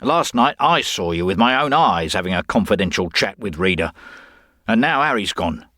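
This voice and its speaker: A scowling minor gangster from a crime story, put on by a male reader, growling his words with suspicion. The voice is low and moves in lively swings. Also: moderate pace, no echo, studio quality. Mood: accusing.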